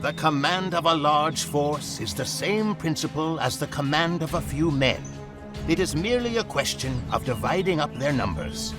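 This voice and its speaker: Man playing a solemn military mentor, animated delivery. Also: a little high, music in the background, close to an everyday voice. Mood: stern, instructive. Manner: measured, instructive, formal, dramatic pauses before sayings. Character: solemn male military mentor quoting ancient Chinese strategy. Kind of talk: scripted game narration.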